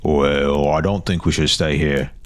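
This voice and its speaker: A man's voice: extremely low pitch, fairly even intonation, measured pace. A man voicing a sensible, wary boy, plain-spoken and sceptical, in an audio story.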